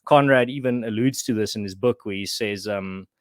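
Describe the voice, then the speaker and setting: A man's voice: on the low side, normal speed, no echo, clean studio sound. A man from South Africa whose first language is Afrikaans, talking as a podcast guest and writer in a thoughtful way with many restarts. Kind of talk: casual conversation.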